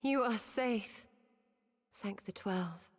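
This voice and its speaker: A woman's voice: mid-range voice, extremely slow. A woman playing a composed, wise mage in a video game, speaking formally. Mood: relieved. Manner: archaic, formal phrasing. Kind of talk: video game cutscene acting. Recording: long hall-like reverb.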